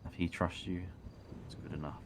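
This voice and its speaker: A man playing a secretive, wary man in an online roleplay game, speaking in terse, guarded, deliberate phrases. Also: deep voice, little pitch movement, slight background noise. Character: secretive, wary man in traditional British dress.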